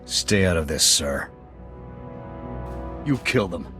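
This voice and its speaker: An actor playing a gruff, proud military officer in a video game, terse and clipped in delivery. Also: male voice, sits low, animated, slow. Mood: angry, accusing. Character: gruff, proud male military sniper officer, loyal but hot-tempered. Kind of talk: video game dialogue acting. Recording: music behind the voice.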